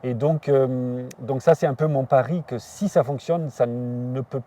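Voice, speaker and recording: Hesitant man, mellow voice. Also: medium pitch, steady tone, a little slow, recorded from a distance. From southern Germany. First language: German. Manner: hesitant, many fillers and restarts. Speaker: artist and biennial curator. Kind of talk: reflective interview answers.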